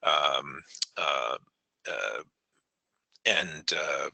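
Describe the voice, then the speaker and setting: A man hosting a software webinar, his voice gravelly and hoarse, with frequent ums and throat clearing. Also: a bass voice, no room sound. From New England, United States. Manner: halting, many fillers, clears throat. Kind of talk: online software tutorial. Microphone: online meeting audio.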